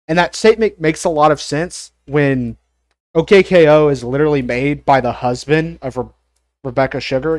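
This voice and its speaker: A congested man chatting on a live stream, opinionated and loose, with plenty of ums and likes. His voice sits at an average pitch. The sound is dry and close with no room echo. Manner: rambling, opinionated, frequent fillers and swearing. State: congested, coughing. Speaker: streamer ranking cartoons. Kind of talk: casual live-stream commentary.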